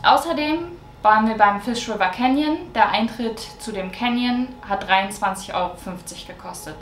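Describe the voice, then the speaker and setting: A woman's voice: medium pitch, normal speed, echoey room, a little hiss or hum. Young woman listing trip expenses plainly. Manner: plain, listing items one by one. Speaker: travel vlogger. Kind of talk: scripted cost breakdown to camera. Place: bedroom with mosquito net, indoors.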